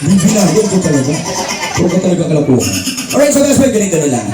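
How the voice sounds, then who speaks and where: A man's voice: high-pitched, sing-song, rapid-fire, crowd noise. A man with a Filipino accent hosting a live children's puppet show, playfully prompting his puppet and the audience. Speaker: ventriloquist, party entertainer. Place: party venue with sound system. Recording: very echoey party venue, distant mic, music.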